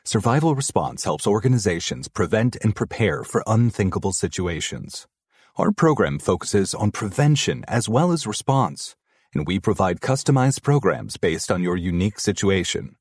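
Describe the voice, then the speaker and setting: A man reading an advertisement in a serious, measured way. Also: on the low side, very animated, a little mellow, husky, no room sound, studio quality. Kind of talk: read advertisement.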